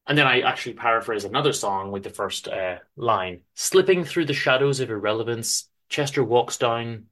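A man giving a dramatic literary reading of a story, with theatrical, deliberate emphasis and pauses. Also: mid-range voice, fairly bright tone. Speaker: author reading own story aloud.

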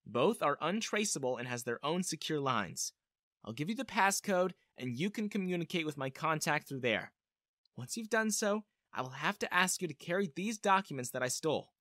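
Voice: medium pitch, lively intonation, moderate pace, a bit bright, no room sound, clean studio sound, close to an everyday voice. A narrator voicing an earnest, determined woman spy with an urgent manner, in storybook dialogue. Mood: businesslike, urgent. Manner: pleading, urgent, resolute. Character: earnest, determined female Russian spy seeking shelter. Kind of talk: audiobook-style character dialogue.

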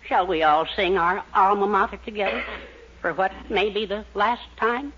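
A timid, self-dramatizing schoolboy with a high, plaintive voice. It is broad old radio comedy on a muffled, noisy recording. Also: male voice, fairly even intonation, normal speed, a bit bright. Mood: plaintive, noble. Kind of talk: 1940s radio comedy acting.

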